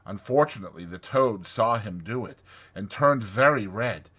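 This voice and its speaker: A man's voice: fairly low pitch, lively intonation, moderate pace, fairly bright tone, slightly rough. A man narrating a classic storybook as a dramatized audiobook reading.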